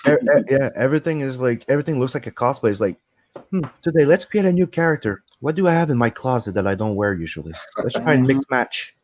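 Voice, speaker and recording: Male co-host, Puerto Rican accent, joking and excitable, muffled lo-fi sound. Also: mid-range voice, fast, slight background noise. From Puerto Rico.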